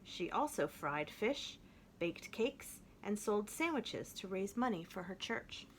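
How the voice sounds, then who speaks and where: Woman, children's storybook read-aloud, expressive, emphatic slowed phrases, mid-pitched voice. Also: a little hiss or hum. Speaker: children's book reader.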